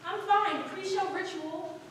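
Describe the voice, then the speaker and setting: Woman acting a nervous, high-voiced stage actress, echoey hall. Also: takes it slowly, fairly bright tone, full character voice. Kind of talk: staged play reading. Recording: distant, reverberant hall, faint noise.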